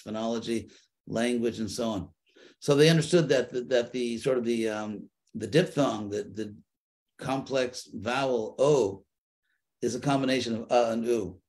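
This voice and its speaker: A man teaching a study group, answering questions thoughtfully, often restarting and repeating words as he searches for phrasing, with a gently humorous touch. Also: medium pitch. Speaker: spiritual teacher.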